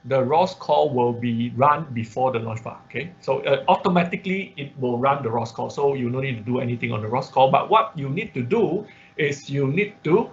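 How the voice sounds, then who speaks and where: A man's voice: mid-range voice, expressive, brisk. Man teaching online, dull lo-fi audio. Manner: step-by-step explaining with frequent check words like okay and right. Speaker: instructor of an online robotics class. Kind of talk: informal screen-share tutorial.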